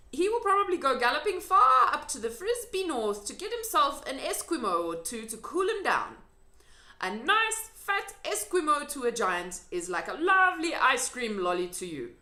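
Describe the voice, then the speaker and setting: Woman voicing an excitable, high-pitched storybook giant. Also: moderate pace, exaggerated voice. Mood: gleeful explaining. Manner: excitable, emphatic, quaintly mangled grammar. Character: friendly old male giant with muddled folksy grammar. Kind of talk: children's storybook reading. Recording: echoey room.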